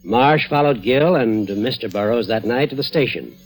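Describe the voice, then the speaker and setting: An actor as a matter-of-fact detective in a vintage radio mystery. His medium-pitched voice moves up and down a great deal, with a casual, knowing manner. The recording is a 1940s broadcast. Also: lively intonation, normal speed, faint music behind, lightly acted, low-quality recording. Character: matter-of-fact male detective explaining the case. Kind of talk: 1940s radio drama acting.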